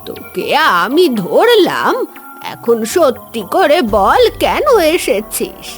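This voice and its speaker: A woman's voice: high voice, wildly swooping pitch, slow, faint music behind, exaggerated voice. A woman playing a suspicious, scolding old village woman in a fairy-tale cartoon, speaking accusingly. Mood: angry, accusing.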